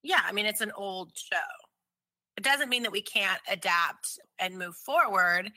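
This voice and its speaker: A woman's voice: medium pitch, lively intonation, a little slow, sharp tone, no echo. A woman co-hosting a podcast in casual chat, talking in an easygoing, informal way. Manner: easygoing, informal, laughs readily. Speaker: podcast co-host.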